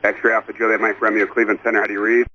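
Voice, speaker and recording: A man's voice: on the low side, flat delivery, racing, bright, forceful tone, dry recording. A man working as an air traffic controller, giving procedural instructions and repeating callsigns over a radio transmission. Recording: muffled, lightly noisy air traffic radio.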